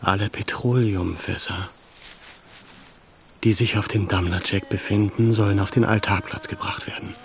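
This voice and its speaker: Commanding rebel leader, radio play, lowish voice, deliberate, night insects clearly audible. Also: male voice, steady tone. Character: resolute commander rallying a besieged mountain camp. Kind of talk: German radio play acting. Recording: clear cricket noise behind.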